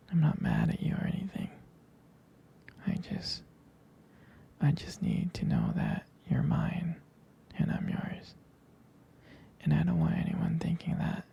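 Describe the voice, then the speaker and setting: A man playing a tender, jealous, devoted boyfriend in an intimate ASMR roleplay, speaking haltingly in a breathy, husky whisper. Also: fairly high pitch, dark tone, muffled recording, heavily acted. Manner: hesitant, intimate, halting delivery.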